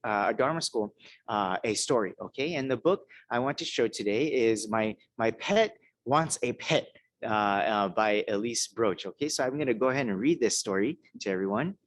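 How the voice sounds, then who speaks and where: Hoarse man giving a gentle sermon. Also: mid-range voice, no room sound. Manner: conversational, keeps checking in with "okay?" and "right?". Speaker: minister. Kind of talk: Dharma talk and children's story reading.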